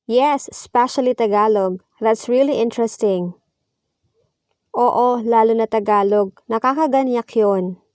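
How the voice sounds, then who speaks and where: A woman reads a practice dialogue line by line in a measured, even way, playing both speakers and following each line with its translation. Her voice sits a little high. It is recorded close up in a dry space with no room sound. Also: smooth, no room sound. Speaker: language teacher. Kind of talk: slow scripted reading of a dialogue with translations.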